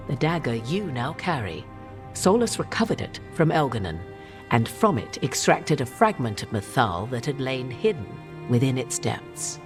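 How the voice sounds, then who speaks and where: An actress playing a cryptic, proud woman mage and keeper of old secrets, who speaks in a measured, archaic and aloof way in a video game cutscene. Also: extremely low pitch, full character voice.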